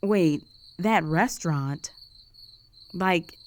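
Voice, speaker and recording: A slightly low-voiced young female roommate, acted by a woman in a romantic audio roleplay. She is jealous and easily flustered, hiding a crush. Her delivery is intimate, conversational and hesitant, with feelings close to the surface. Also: extremely slow. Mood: puzzled, insistent. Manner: conversational, hesitant, sighing, emotionally exposed.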